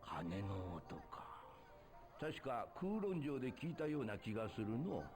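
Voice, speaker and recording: Folksy old male game character, unhurried, old-fashioned, clear music behind. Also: fairly low pitch, sing-song. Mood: musing. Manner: slow, musing, old-fashioned speech.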